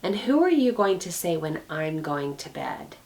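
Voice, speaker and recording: A woman's voice: low-pitched, big pitch swings, normal speed, a little mellow. A woman reading aloud as a tired, bad-tempered schoolboy in a storybook. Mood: grumpy. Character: grumpy tired English schoolboy, middle brother.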